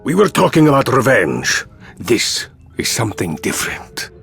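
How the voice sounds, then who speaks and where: An actor playing a proud, earnest resistance volunteer in a video game. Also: male voice, medium pitch, huge pitch swings, normal speed, vocal fry, a bit bright, faint music behind, full character voice. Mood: solemn. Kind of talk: dramatic video game acting.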